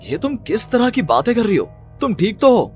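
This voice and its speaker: A man's voice: extremely high pitch, big pitch swings, a little fast, a little mellow, soft background music, voice pushed up, exaggerated voice. A man voicing an anxious young husband and son in melodramatic cartoon story dialogue. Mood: uneasy.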